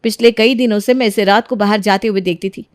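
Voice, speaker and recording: A woman playing a scheming, quarrelsome young wife in a melodramatic, accusing manner for an animated moral story. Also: mid-range voice, fairly quick, no room sound, clean studio sound, natural voice. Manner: accusing, melodramatic, self-righteous. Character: scheming, quarrelsome young married daughter-in-law.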